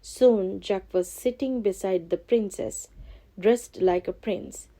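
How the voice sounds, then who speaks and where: A woman reading a scripted children's storybook as narrator, expressive and carefully phrased. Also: medium pitch, slow.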